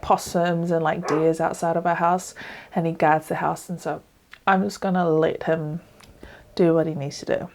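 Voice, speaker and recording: A New Zealand woman in her thirties hosting her own podcast from home, talking in a relaxed, chatty way with many ums and likes into a webcam. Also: fairly low pitch. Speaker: podcast host. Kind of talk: casual solo podcast talk. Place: small indoor room at home, dog barking outside. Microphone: laptop webcam, seated close.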